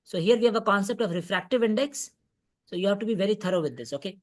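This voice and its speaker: A woman's voice: medium pitch, quick pace. A woman with an Indian accent lecturing as a physics teacher in an online revision class, repeating points and often checking understanding. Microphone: online video call class.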